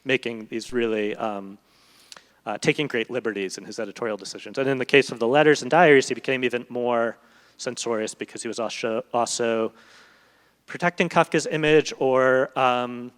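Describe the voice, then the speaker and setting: A man's voice: medium pitch, moderate pace, clear, resonant tone. Man giving a literary talk, echoey hall. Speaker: translator and guest speaker. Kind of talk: literary discussion before an audience. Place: large event hall, live public talk. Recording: echoey hall with long reverb.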